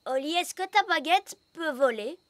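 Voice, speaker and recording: A woman's voice: high voice, animated, moderate pace, background noise. A woman voicing an eager, proud young elf boy with an earnest delivery in a French-dubbed children's cartoon. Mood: curious.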